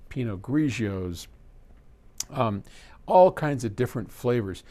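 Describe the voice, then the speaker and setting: A man's voice: on the low side, darkish tone. A man talking casually and unscripted to camera as a host answering viewer questions, rambling conversationally with asides and self-corrections. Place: trade-in equipment warehouse.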